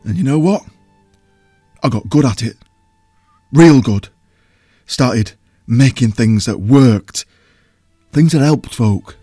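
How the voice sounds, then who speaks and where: An actor playing a huge, simple, childlike potion brewer in an audio drama, with rustic folksy speech and a halting, earnest, wounded manner. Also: male voice, mid-range voice, animated, mellow, dry recording, studio quality, soft background music. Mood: quietly proud. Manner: halting, plain-spoken, earnest. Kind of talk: audio drama character acting.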